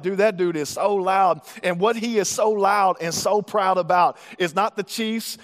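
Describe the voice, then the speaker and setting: A man, a pastor delivering an emphatic sermon that rises to loud preaching. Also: sits high, in a large hall. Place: church auditorium.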